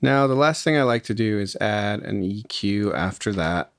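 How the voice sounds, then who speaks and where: A man with a fairly low voice walks through a software tutorial step by step. He sounds informal and explanatory, and the recording is close and dry. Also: lively intonation, moderate pace, dry recording. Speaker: music production tutorial host.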